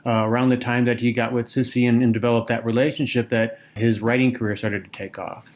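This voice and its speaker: A man with a mid-range voice co-hosts a casual book podcast. He talks in a thoughtful, unhurried way, stopping now and then to pick his words. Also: medium pitch, little pitch movement. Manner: thoughtful, reads quotes aloud. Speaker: podcast co-host. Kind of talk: casual literary discussion.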